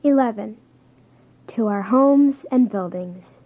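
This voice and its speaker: A woman's voice: fairly high pitch, slow pace, warm tone, slight background noise. A woman playing a guileless, slightly uncertain young schoolchild in a children's read-along. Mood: reciting.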